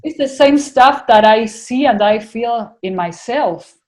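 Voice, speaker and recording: A woman's voice: on the high side, lively intonation, unhurried, darkish tone. Chatty woman with an Argentinian accent. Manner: chatty, many fillers and restarts. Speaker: podcast guest. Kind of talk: casual interview conversation.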